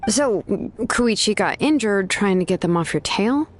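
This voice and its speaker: A woman's voice: mid-range voice, lively intonation, a little slow, a little hiss or hum, lightly acted. An actress voicing a weary, businesslike woman expert in a video game, matter-of-fact in manner.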